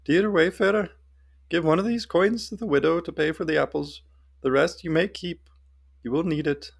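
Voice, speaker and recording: A man's voice: a little high, moderate pace, gravelly, pitched above the performer's own voice. A man voicing a tiny, wrinkled old goblin woman, sly, folksy and knowing, in a fantasy story. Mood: kindly, cryptic. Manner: folksy, teasing and cryptic.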